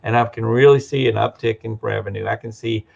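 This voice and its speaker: Man, interview guest, gravelly voice, rambling conversational delivery, muffled lo-fi sound. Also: fairly low pitch, steady tone, a little fast, darkish tone. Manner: conversational, many restarts and "you know". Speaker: practice management business guest. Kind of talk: casual interview. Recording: dull lo-fi audio.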